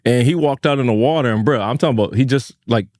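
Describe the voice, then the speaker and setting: Guy from barbados on a podcast, mid pitch, serious reflective storytelling, slangy, dry mic. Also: quick pace, darkish tone, dry recording. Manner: earnest, emphatic, slangy. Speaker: podcast co-host.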